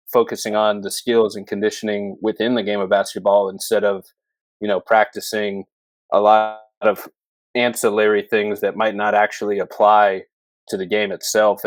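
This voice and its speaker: A man's voice: on the low side, no room sound. A man hosting a podcast, talking in a casual, rambling and enthusiastic interview style. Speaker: podcast host. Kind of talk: casual interview with long introduction.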